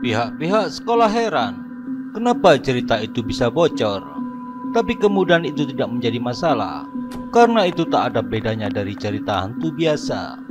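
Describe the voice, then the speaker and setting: A man's voice: medium pitch, sing-song, fairly quick, over music. A man narrating a scripted horror story.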